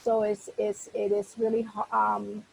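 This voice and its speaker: A woman from Costa Rica with a Costa Rican Spanish accent, a native Spanish speaker, speaking earnestly on a video call panel with many pauses. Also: mid-range voice, completely flat delivery, creaky, slight background noise, rough recording.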